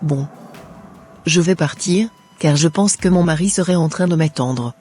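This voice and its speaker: A woman playing a guilt-ridden young wife in an animated drama, speaking in an earnest, formal way. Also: deep voice, measured pace, faint music behind. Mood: remorseful, anxious.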